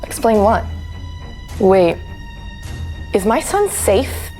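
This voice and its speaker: A woman's voice: medium pitch, sing-song, very slow. An actress playing a feisty, sceptical young single mother in an audio drama, with a blunt, challenging manner. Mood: confused, worried. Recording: music behind the voice.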